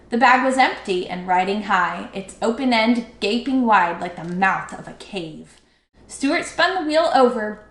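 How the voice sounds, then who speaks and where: A woman reading a children's book aloud expressively, with dramatic, drawn-out emphasis. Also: on the high side, fairly bright tone. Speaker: storybook read-aloud teacher. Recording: echoey room.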